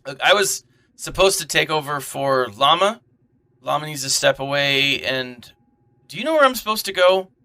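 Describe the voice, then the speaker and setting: Man playing bright, high-pitched pompous storyteller. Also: animated, normal speed, creaky, full character voice. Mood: flustered. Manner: grandiose, wordy, fussy. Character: pompous bald male storyteller, fussy newcomer. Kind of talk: amateur comedic radio drama acting.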